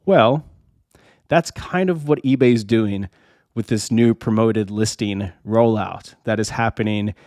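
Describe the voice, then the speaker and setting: A man's voice: fairly low pitch, slow, darkish tone, no echo, clean studio sound. A man who is feeling under the weather, talking in a casual, chatty way as a channel host. He repeats himself and builds a long hypothetical example, with rhetorical questions along the way. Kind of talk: casual explainer to camera.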